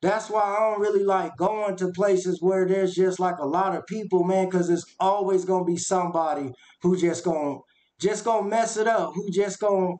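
A man's voice: high voice, fairly even intonation, moderate pace, darkish tone. A man hosting a reaction video from a small home room at a webcam, talking earnestly and casually, with a lot of "man" and "y'all". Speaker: reaction channel host. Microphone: webcam at desk.

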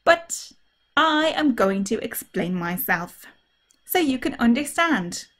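High, drawn-out cheerful cartoon virus voiced by a woman. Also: very animated, fairly bright tone, exaggerated voice. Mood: explaining brightly. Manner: theatrical, drawn-out, emphatic. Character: cheerful, friendly cartoon virus introducing itself to children.

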